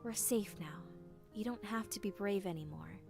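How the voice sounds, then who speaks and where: A woman's voice: medium pitch, moderate pace, creaky, over music, lightly acted. Woman as sensible, composed female pony leader. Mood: steady, supportive. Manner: composed, take-charge. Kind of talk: animated fan audio drama acting.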